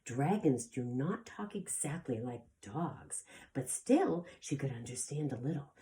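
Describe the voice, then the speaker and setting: Casting: female preschool teacher, theatrical picture-book reader, slow and highly animated. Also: husky. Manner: theatrical, with gasps and asides to young listeners. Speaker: preschool teacher reading aloud. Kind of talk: expressive children's picture-book read-aloud.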